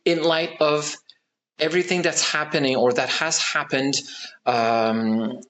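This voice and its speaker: Formal host, slow and measured. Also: male voice, a little high, fairly even intonation, fairly bright tone, slight background noise. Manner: measured, formal, filler-heavy. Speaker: event host.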